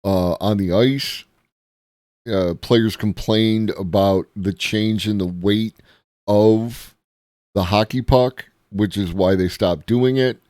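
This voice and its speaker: A man streaming live, reacting to a video in a musing, halting way with many ums. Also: fairly low pitch, a little mellow, no room sound, clean studio sound.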